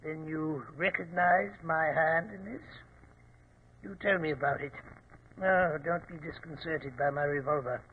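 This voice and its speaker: An actor playing a suave, grandiose criminal mastermind with measured, courteous menace in 1940s radio style. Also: male voice, fairly high pitch. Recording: muffled, noisy 1940s radio recording.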